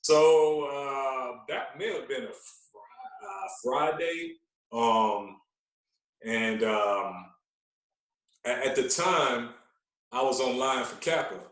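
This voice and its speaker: Man, casual podcast storyteller, animated, repetitive phrasing, mid-pitched voice, echoey room. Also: takes it slowly, pauses often, far from the mic. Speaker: podcast guest, radio personality.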